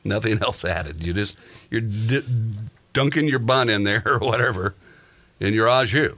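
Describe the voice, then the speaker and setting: A man's voice: fairly low pitch, normal speed, bright, forceful tone. A man hosting a podcast in casual comic chat, joking and rambling through everyday stories. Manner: joking, rambling, tells exaggerated everyday anecdotes. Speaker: podcast host.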